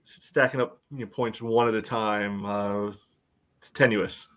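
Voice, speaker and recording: A man with a fairly low voice gives casual sports analysis as a podcast co-host. He talks in a halting, rambling way, circling through his thoughts with many fillers. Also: steady tone, fairly bright tone. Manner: halting, rambling, very frequent "you know".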